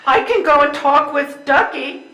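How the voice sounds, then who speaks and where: A woman's voice: high-pitched, lively intonation, a little slow, bright, forceful tone, exaggerated voice. An older woman playing a distraught, abandoned wife in a plaintive, melodramatic stage play reading. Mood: resigned. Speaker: amateur readers theater performer. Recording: echoey room.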